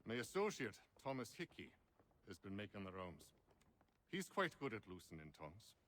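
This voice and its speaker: Courteous scholar, measured, low, game cutscene, echoing hall, noise behind. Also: male voice, big pitch swings, a bit bright. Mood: composed. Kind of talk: video game character acting.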